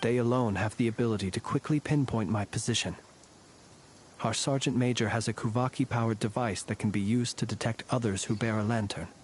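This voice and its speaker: A man playing a courtly, composed warrior in a video game, speaking formally in archaic, eloquent and measured phrases with a wry stoicism. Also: medium pitch, a little hiss or hum, natural voice. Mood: calm, thoughtful. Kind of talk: scripted video game character dialogue. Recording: game audio with background music.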